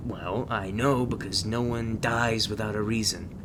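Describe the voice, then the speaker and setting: A man playing a gruff, emotionally distant veteran monster-hunting agent in an ASMR roleplay, speaking in a blunt, halting, sardonic way. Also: fairly even intonation, natural voice. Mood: stern, weary. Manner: blunt, halting, sardonic, sighing between thoughts. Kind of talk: ASMR roleplay, intimate one-sided dialogue. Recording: noisy, rumbling thunderstorm-like background sound.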